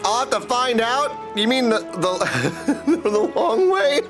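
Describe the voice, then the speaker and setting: Goofy, animated high-voiced man. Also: slow pace. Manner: goofy, slangy, chatty with viewers. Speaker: game livestreamer. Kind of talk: casual livestream chat over gameplay. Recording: music behind the voice. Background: game music.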